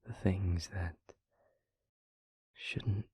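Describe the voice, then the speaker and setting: A man playing a tender, wistful demon lover in an intimate audio roleplay, whispering softly and haltingly in a breathy, husky voice, close to the mic. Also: sits low, steady tone, dry recording, exaggerated voice. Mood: dreamy, loving. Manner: slow, halting, soft-spoken delivery. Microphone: close microphone.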